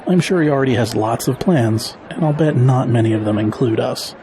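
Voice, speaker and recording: A man's voice: mid-range voice, a little fast, dark tone, natural voice. An actor playing an easygoing middle-aged father in an audio drama, reassuring and lightly joking. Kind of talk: scripted audio drama dialogue. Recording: faint noise, outdoor crowd murmur.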